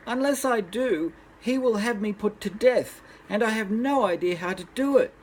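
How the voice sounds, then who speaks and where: A man voicing a miller's frightened young daughter, pleading meekly and haltingly. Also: rough recording. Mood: sobbing despair. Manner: high-pitched pleading, halting.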